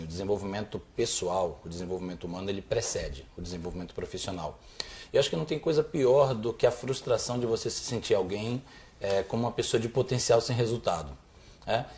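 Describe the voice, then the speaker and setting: A man's voice: on the low side, a little mellow. A man giving articulate, explanatory answers as an executive guest in an interview, repeating phrases for emphasis. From Salvador, Bahia, Brazil.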